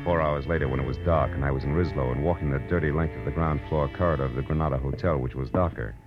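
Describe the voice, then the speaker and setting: An actor playing a wry, tough American private detective in 1940s radio style, with a sardonic, slangy delivery. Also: male voice, very deep voice, fast talker, dull audio. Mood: hard-boiled narrating. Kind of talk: 1940s radio acting, with first-person narration. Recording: old radio recording, faint music.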